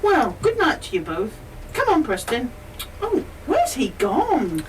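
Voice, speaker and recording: A woman acting a sensible, practical lady traveller in an amateur radio play, with an emphatic, brisk manner. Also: high voice, huge pitch swings, heavily acted. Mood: calling, concerned. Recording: distant, noisy community radio studio.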